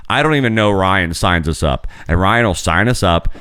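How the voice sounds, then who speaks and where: Low-voiced man with a lively swing. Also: normal speed, clear, resonant tone, dry recording, clean studio sound. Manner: loose, profane storytelling. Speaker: podcast host. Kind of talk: casual chat.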